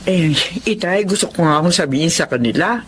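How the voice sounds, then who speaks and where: An actor playing a respectful, caring grown son speaking politely and earnestly in a Filipino radio drama. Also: male voice, a little high, animated, fairly quick, a bit bright, husky. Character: respectful, caring grown child of old father.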